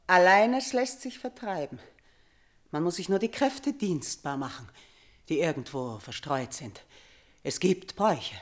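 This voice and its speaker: An actress playing a tormented, superstitious middle-aged queen in a radio play, declaiming theatrically and swinging between hushed dread and outcry. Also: lowish voice, expressive, a little slow. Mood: intense, secretive. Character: tormented ageing mother and queen, haunted by nightmares, superstitious, imperious.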